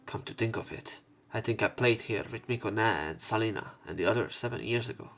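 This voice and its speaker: A man doing a let's play, reading visual novel narration and dialogue aloud, somewhat haltingly, correcting himself as he goes. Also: lowish voice, fairly bright tone. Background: game music.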